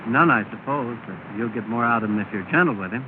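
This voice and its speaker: An actor playing a courteous, mild but quietly firm young gentleman in a 1930s film, speaking politely and with measure. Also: medium pitch, fast talker, phone-quality sound, close to an everyday voice. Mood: calm, firm. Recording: thin, noisy 1930 film soundtrack.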